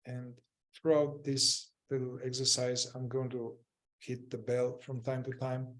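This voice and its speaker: A man guides a meditation in a very slow, level voice. He speaks gently in short phrases, with long silences between them. His pitch is medium and hardly moves. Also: level tone. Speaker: meditation teacher leading a group.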